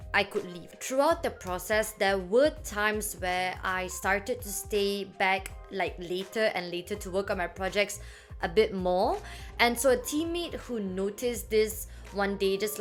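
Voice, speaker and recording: Chatty young woman vlogging, soft music behind. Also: mid-range voice, expressive, a little slow. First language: not French. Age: young adult. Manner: chatty, many "like" fillers. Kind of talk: casual talking-to-camera vlog.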